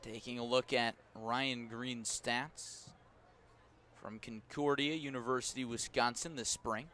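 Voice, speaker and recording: Male baseball radio play-by-play announcer. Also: a little hiss or hum. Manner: measured between pitches, rising to excited calls on action. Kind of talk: live baseball play-by-play commentary. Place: outdoor ballpark broadcast.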